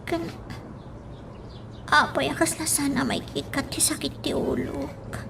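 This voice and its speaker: A woman's voice: high-pitched, steady tone, a little slow, full character voice. A woman playing an ailing, anxious young woman in a radio drama, with an Ilocano accent. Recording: clear birdsong and background noise.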